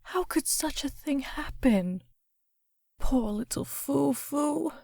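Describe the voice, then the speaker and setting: Actress doing indignant scatterbrained lady griping, high slow voice, theatrical comic dub, dry sound. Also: animated, creaky, mellow, dry recording, heavily acted. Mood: fussy indignation. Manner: theatrical, fussy, rambling. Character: indignant, scatterbrained female citizen complaining to police. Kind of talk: comic book dub acting.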